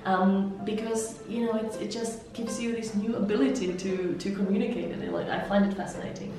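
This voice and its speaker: A woman from Slovakia with a Slovak accent, an interpreter and language mentor, giving measured, explanatory interview answers. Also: mid-range voice, steady tone. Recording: echoey room, heard from some distance, soft music.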